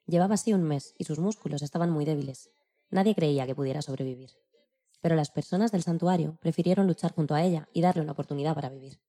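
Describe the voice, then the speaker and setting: A woman's voice: low-pitched, quick pace, warm tone. A woman narrating scripted stories expressively for a children's programme.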